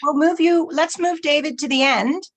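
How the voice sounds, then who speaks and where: Woman, high voice. Host of an informal online event, reassuring and organised. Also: normal speed. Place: video call.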